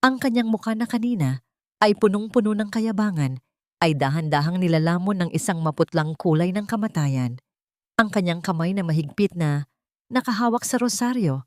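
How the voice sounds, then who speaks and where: A woman's voice: on the low side, a little mellow, no room sound, studio quality. A woman with a Filipino accent narrating a dramatic scripted story in a solemn, theatrical way. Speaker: audiobook narrator.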